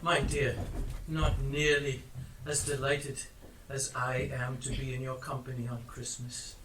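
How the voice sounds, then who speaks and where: A man's voice: mid-range voice, little pitch movement, a little slow, fairly bright tone, close to an everyday voice. A man acting an old reformed miser on an amateur stage, eager and apologetic, stammering effusively as he goes. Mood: shy, tender. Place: theatre stage, live performance. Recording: distant echoey live stage recording, faint noise.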